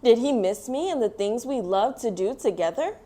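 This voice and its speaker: A woman plays a young, caring best friend in a storybook. The delivery is earnest and expressive, often lifting a little in pitch. It is pitched for small children. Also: moderate pace. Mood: worried, sad.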